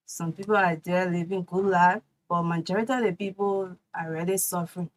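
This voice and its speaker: Liberian woman, steady interview guest. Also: fairly low pitch, fairly quick. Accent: Liberian English. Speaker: culinary instructor guest. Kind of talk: casual interview answers.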